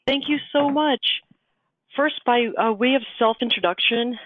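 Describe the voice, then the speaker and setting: A woman's voice: fairly high pitch, a little slow. A woman from Argentina, with Spanish as her first language, presents a webinar in an explanatory way. Her voice comes through thin, phone-like audio. The sound is dry, with no room echo. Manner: explanatory, calls "next" for slides.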